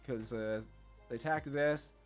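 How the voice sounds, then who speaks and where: A man's voice: medium pitch, unhurried. A man giving casual card game commentary, thinking aloud and often questioning his own choices as he goes. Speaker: gameplay video host. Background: background music.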